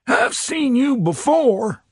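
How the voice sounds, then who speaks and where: A man's voice: extremely high pitch, very animated, slow, darkish tone, husky, no echo, clean studio sound, voice pushed up, exaggerated voice. A man reading a novel aloud voices a fussy, impatient pudgy police chief in a raised, nasal voice.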